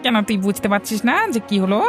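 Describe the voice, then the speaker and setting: A man's voice: high-pitched, fairly even intonation, fast talker, over music, close to an everyday voice. A man voicing an indignant, accusing village shop customer in a fairy-tale cartoon. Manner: accusing, huffy.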